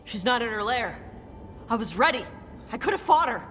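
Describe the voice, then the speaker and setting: A woman's voice: a little high, big pitch swings, normal speed, fairly bright tone, slight background noise. A woman voicing an intense, driven and self-doubting young dragon slayer in a video game. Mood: agitated, defiant. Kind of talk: dramatic video game dialogue. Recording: long reverb, faint music.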